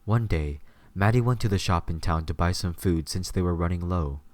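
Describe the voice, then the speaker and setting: A man narrates a scripted story in a low-pitched voice. The recording is close and dry, with hardly any room sound. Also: moderate pace, darkish tone, no room sound.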